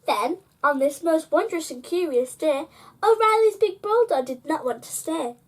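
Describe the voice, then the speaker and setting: A high-voiced woman reads a bedtime picture book aloud. Her delivery is expressive and sing-song, following the rhymes, and she turns to speak straight to young listeners. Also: normal speed. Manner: sing-song, addressing children directly. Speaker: children's storyteller.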